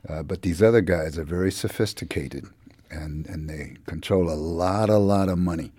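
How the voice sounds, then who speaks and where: Deep, dark-voiced man giving political commentary. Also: moderate pace, husky, no room sound. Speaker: newspaper journalist as panel commentator. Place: radio studio.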